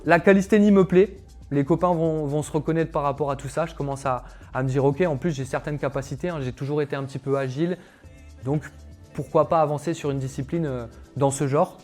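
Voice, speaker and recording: A man's voice: a little high, steady tone, a little fast, darkish tone, soft background music. A young man, a calisthenics coach, telling his personal story to camera in a casual, chatty way, with lots of fillers and asides to viewers. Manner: chatty, filler-heavy, addresses viewers directly.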